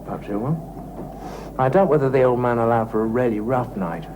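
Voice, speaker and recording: A man's voice: mid-range voice, moderate pace, noisy background, close to an everyday voice. An actor playing a polite, guarded male ship's officer in a television drama, formal and noncommittal. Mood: courteous, guarded. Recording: old TV soundtrack under loud music.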